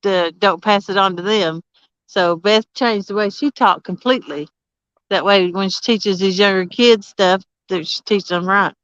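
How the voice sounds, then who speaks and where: Soft-spoken Southern country woman. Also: unhurried, clear, resonant tone, no room sound, dull audio. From Southern United States. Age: middle-aged. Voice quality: low voice, almost mumbling. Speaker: channel host. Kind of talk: casual chat reading live comments.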